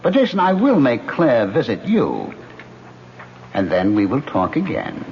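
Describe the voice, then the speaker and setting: A man's voice: mid-range voice, huge pitch swings, measured pace. An actor playing a taunting male ghost who haunts a man, in a mocking, insinuating radio drama style. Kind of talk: 1970s radio drama acting. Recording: dull old radio broadcast with faint hiss.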